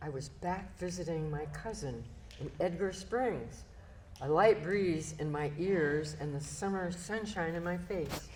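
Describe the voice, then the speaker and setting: Low-voiced woman reading aloud. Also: unhurried, darkish tone. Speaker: audience volunteer reading a ghost's story. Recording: noisy live room recording.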